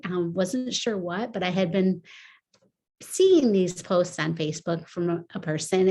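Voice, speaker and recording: A woman in her forties from Michigan telling her story on a video call, laughing easily. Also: lowish voice. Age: 40s. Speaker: guest sharing her story. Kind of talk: personal testimony.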